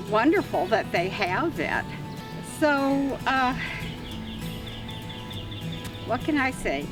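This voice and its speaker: Slow, fond older woman over music. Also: medium pitch, far from the mic. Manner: unhurried, fond and reflective. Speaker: mother of the lawyers. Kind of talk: documentary interview. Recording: music under voice, from off the mic.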